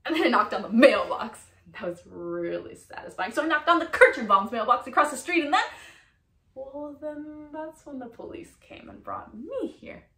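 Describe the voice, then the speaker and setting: A woman performing a comedic stage monologue as a frantic, indignant woman, telling her story in an animated, emotional way. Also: on the high side, normal speed, vocal fry, few pauses, reverberant, far from the mic, voice pushed up. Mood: frantic, rueful. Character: frantic, indignant woman recounting a furious outburst.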